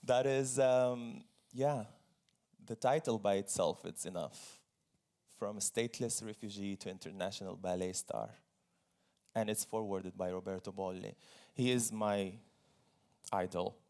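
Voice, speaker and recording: A man's voice: fairly low pitch, fairly even intonation, measured pace, darkish tone. A man from Syria speaking on stage as a guest at a forum, telling his personal story earnestly, with small laughs and repeated phrases.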